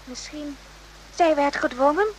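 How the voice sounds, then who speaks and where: A woman playing a timid, earnest young nurse in a radio play, speaking halting broken sentences. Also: high-pitched, rough recording. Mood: subdued, defensive.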